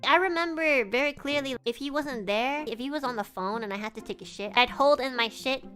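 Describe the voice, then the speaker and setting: Bright-voiced young woman telling crude stories. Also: sits high, a little fast, faint music behind. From Canada. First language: Chinese. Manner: crude, animated, laughing storyteller. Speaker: virtual streamer. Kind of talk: casual storytelling chat.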